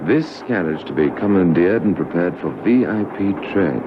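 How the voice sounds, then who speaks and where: Assured, low, expressive spy. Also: male voice, moderate pace. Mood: calm, assured. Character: smooth, assured spy villain with guarded, officious air. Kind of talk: classic radio drama acting. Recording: dull vintage radio recording, faint noise.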